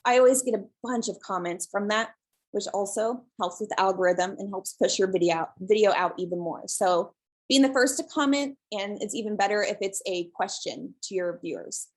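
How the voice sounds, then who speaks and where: A woman from the presenting in a webinar over a video call, soft and relaxed, with many pauses and ums. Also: medium pitch. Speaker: guest presenter, shelter social media creator.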